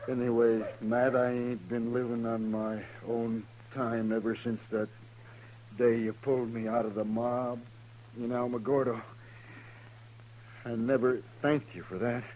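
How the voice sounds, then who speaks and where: An actor playing a dying, loyal Old West lawman in a radio drama, resigned, speaking in weak, halting phrases. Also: medium pitch, level tone, dark tone, close to an everyday voice, low-quality recording. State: weak, labored, halting speech. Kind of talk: 1950s radio western acting. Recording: old radio recording, faint noise.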